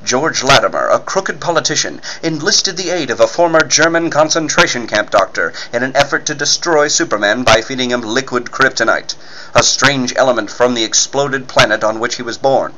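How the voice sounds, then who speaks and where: Male announcer, old-time radio serial style: bright, forceful, punchy delivery, faint noise. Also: medium pitch, expressive, moderate pace, highs cut off. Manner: emphatic, punchy salesmanship. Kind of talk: 1940s radio announcing, scripted.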